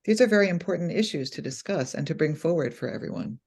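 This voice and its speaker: A woman's voice: low-pitched, lively intonation, fast talker, darkish tone, breathy edge. A woman host introducing a guest author and asking interview questions in a friendly manner.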